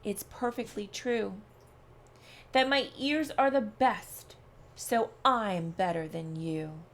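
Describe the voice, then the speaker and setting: A woman's voice: mid-range voice, takes it slowly, slight background noise. A woman reading the part of a boastful, challenging male rabbit in a storybook. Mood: bragging. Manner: bragging, challenging. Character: boastful male rabbit, proud of his keen ears.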